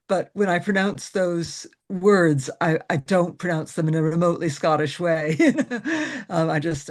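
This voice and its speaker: A middle-aged woman from the US with an American accent softened by years in Ireland and England, chatting as a guest on a podcast, laughing often and restarting her sentences. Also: on the low side. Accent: mixed American with Irish and West Country traces. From USA. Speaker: singer-songwriter guest. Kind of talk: casual interview chat.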